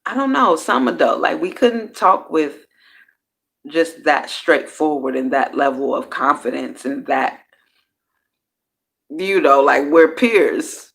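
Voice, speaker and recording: A woman's voice: sits low, animated, slow, gravelly, fairly bright tone. A woman hosting her own personal growth series, talking casually and candidly. She uses slang, swears freely, and often trails off and restarts her sentences. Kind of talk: casual reflective monologue.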